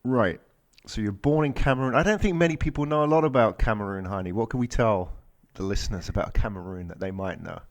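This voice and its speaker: A man's voice: lowish voice, brisk. Male podcast host, friendly interviewer, leading questions. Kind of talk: interviewing an entrepreneur.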